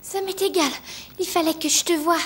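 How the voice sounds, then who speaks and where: A woman's voice: very high voice, steady tone, moderate pace, a bit bright. A woman voicing a timid but loyal schoolgirl in a French cartoon dub, meek and earnest in manner. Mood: caring.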